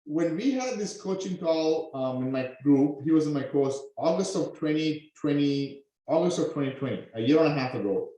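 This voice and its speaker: A man from Sri Lanka teaches a live online class, full of energy and constantly checking in with "okay?". His voice sits a little high for a man. The sound bounces hard around a very echoey room. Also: moderate pace, few pauses. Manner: energetic, peppers talk with "okay?". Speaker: online business coach.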